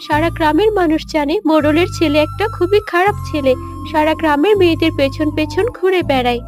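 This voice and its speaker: A woman's voice: high voice, brisk, a little mellow, faint music behind, lightly acted. A woman voicing a bold, outspoken young elder daughter in a cartoon, with a firm manner. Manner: firm, scolding. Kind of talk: Bengali cartoon voice acting.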